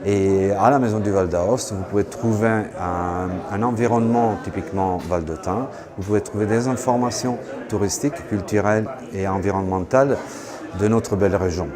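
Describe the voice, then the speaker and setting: A man's voice: fairly low pitch, normal speed. A man giving a promotional invitation to viewers as the representative of a cultural centre. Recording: crowd chatter behind.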